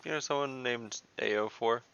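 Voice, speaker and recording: A man's voice: medium pitch, normal speed, gravelly, fairly bright tone, clean tone, no room sound. A man playing a tabletop game, direct and joking with a crude sense of humor. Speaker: player. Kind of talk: casual tabletop play. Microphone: home mic on video call. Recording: dull lo-fi audio.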